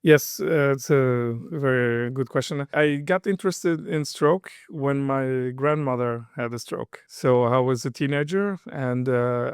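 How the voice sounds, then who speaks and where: A man's voice: medium pitch, clean tone, no room sound, studio quality. A man in his fifties from Portugal, a neurologist answering interview questions with many fillers and restarts. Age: 50s. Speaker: neurologist guest.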